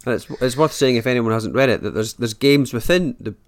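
A man's voice: medium pitch, brisk. A man interviewing a guest in a casual, informal and effusively enthusiastic way.

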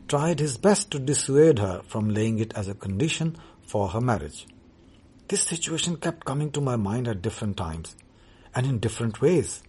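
A man whose first language is Urdu, talking about his writing as a poet in a measured, deliberate way. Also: medium pitch, warm tone, husky. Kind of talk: reflective talk on his writing.

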